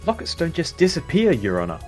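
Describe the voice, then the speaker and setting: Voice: medium pitch, huge pitch swings, brisk, soft background music. A person voicing an earnest young male defense attorney, dramatic and emphatic, in a live fan dub of a courtroom game. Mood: insistent.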